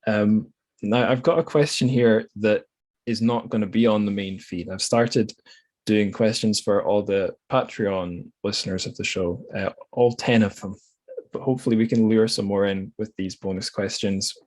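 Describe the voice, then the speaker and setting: Reflective man with a lowish voice, podcast hosting. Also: steady tone, normal speed, a little mellow. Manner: hesitant, reflective, self-correcting. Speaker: podcast host. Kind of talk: casual literary podcast discussion.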